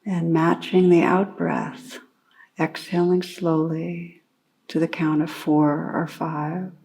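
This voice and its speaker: Slow, low-voiced woman guiding meditation. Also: rough recording. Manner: calm, unhurried, gently instructing with long pauses. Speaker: meditation teacher. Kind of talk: guided meditation.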